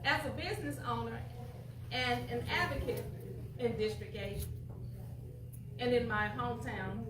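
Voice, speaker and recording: Casting: woman, 60s, from Dallas, council candidate, emphatic and repetitive, bright higher voice, distant echoey hall mic, light hiss. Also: measured pace. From Dallas, Texas. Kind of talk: campaign opening statement at a public forum. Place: community hall.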